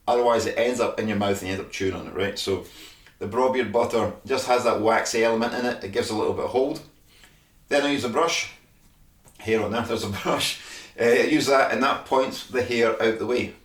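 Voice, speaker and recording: A man's voice: mid-range voice, reverberant, far from the mic. A Scottish man casually demonstrating beard care products, checking in often with "all right?". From Scotland. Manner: chatty, frequent "all right?" tags. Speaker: beard care brand host.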